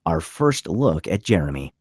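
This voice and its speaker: Low, husky male screenplay narrator. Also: sing-song, normal speed, a little mellow, dry recording, studio quality. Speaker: stage-direction narrator.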